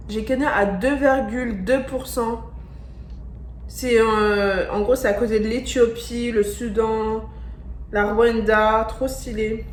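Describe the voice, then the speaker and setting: A young woman from France reacting in a casual vlog, excitable and slangy, repeating her exclamations. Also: a little high, a little hiss or hum. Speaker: vlogger. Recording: echoey room with faint music.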